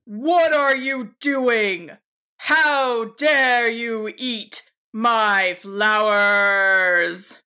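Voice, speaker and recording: A woman playing a mean-spirited, sly old male wizard in a storybook, with a drawn-out, menacing delivery. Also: medium pitch, creaky, a bit bright. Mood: furious bellowing.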